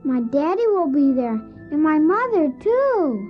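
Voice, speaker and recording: A very high, young voice playing a polite, honest, lonesome orphan newsboy in an audio drama. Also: very animated, a little slow, music in the background. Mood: earnest, wistful. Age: child character. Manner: earnest, plain-spoken, polite. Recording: dull, noisy old cassette tape.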